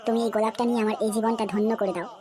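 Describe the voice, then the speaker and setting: A woman's voice: on the high side, flat delivery, racing, warm tone, close to an everyday voice. A woman voicing a brash, pushy young male suitor in a comedy cartoon, crude and badgering, with a West Bengal accent. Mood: wooing. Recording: music behind the voice.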